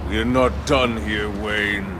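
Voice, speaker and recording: An actor playing a smug, controlling villain in a video game, taunting and impatient. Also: male voice, lowish voice, steady tone, slow, noisy background. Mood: impatient menace. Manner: taunting, commanding. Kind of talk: dramatic video game acting.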